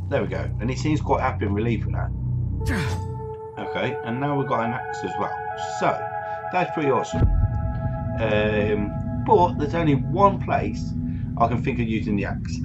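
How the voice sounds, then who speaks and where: A man giving casual let's play commentary, narrating his own actions in short, unhurried phrases. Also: medium pitch, lo-fi sound. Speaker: gaming YouTuber. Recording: voice over loud game music. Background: loud game music.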